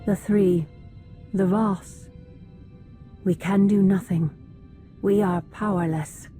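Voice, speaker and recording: A woman's voice: medium pitch, steady tone, slow pace, dark tone, faint music behind, close to an everyday voice. An actress playing a stern, distrustful female alien council leader in a video game, formal and grave. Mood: grave foreboding. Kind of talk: video game cutscene acting.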